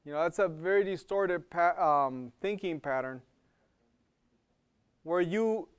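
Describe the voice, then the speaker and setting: Male lecturer with an accent, slightly high and smooth, teaching a live class in a casual, searching way. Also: takes it slowly. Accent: non-native English. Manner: conversational, checks in with "okay?" and repeats words while thinking. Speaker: health program lecturer.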